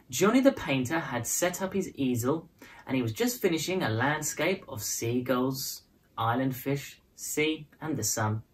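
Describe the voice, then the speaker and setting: A woman reading a children's picture book aloud as a storyteller, with expressive, dramatized phrasing. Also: low voice. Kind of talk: scripted storybook narration in prose and verse.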